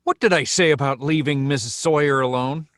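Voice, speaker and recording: A man's voice: medium pitch, fairly quick, fairly bright tone, dry recording, close to an everyday voice. A man playing an impatient, scolding boss of a private investigation agency in a screenplay table read. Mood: stern, exasperated.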